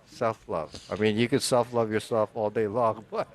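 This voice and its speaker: A man's voice: fairly low pitch, fairly even intonation, measured pace. A man in his forties from the Philippines, co-hosting a podcast in a casual, rambling way with many restarts. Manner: rambling, restarts, laughs often. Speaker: podcast co-host.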